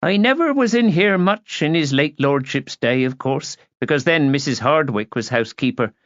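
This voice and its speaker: A man's voice: a little high, animated, normal speed, fairly bright tone, no echo, dull audio, voice pushed up. A man voicing a plain-spoken, gossipy, practical housekeeper woman in an audiobook, homely and a little bossy. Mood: chatty, regretful. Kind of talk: audiobook character voice.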